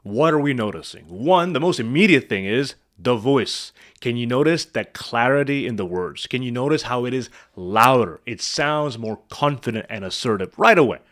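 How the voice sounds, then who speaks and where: A man's voice: medium pitch, lively intonation, moderate pace, hardly any pauses, fairly bright tone. A man working as a speaking coach, commenting on a client's video and quizzing the viewer with rhetorical questions.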